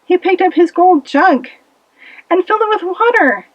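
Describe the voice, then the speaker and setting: A woman narrating a children's puppet-show fable with expressive, dramatic delivery, in a slightly muffled recording. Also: very high-pitched, normal speed, no room sound. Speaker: storyteller.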